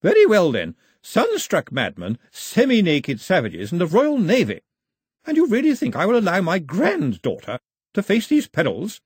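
Male narrator putting on a high, snapping, domineering old grandmother, haughty and sharp; husky edge. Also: wildly swooping pitch, normal speed, no echo, clean studio sound, heavily acted. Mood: imperious. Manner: clipped, snapping, commanding.

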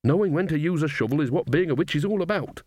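A man's voice: a little high, expressive, quick pace, a little mellow, no echo, clean studio sound, pitched above the performer's own voice. A man voicing an earthy old woman, a practical, wry witch who talks bluntly and colloquially in an audiobook. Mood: scolding. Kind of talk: audiobook character voice.

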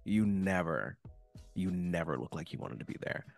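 A young man hosting a casual podcast chat, joking and animated, with lots of fillers. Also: low voice, normal speed, gravelly, no echo, faint music behind. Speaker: podcast host.

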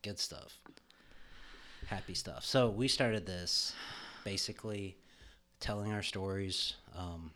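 A man with a very low, deep voice talks casually on a podcast from a home studio. He rambles with fillers and slips in jokes. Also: takes it slowly, a bit bright, slight background noise. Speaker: podcast co-host. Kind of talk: casual chat.

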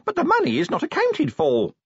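A man's voice: very high voice, huge pitch swings, fairly quick, no room sound, highs cut off, voice pushed up, full character voice. A man voicing a small, chirpy imp from a gadget in a storybook, eager to please, pleading and officious. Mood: helpful, hopeful. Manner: pleading, officious, recites like a machine.

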